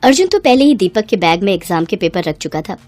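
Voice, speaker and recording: A woman's voice: mid-range voice, big pitch swings, fast, darkish tone, no echo, soft background music. A woman reading a scripted moral story in a dramatic narrator's style.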